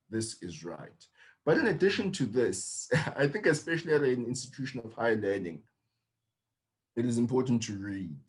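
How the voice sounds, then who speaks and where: Measured, earnest male lecturer. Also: mid-range voice, rough recording. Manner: measured and earnest, with slow emphatic phrases. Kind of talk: reflective interview talk.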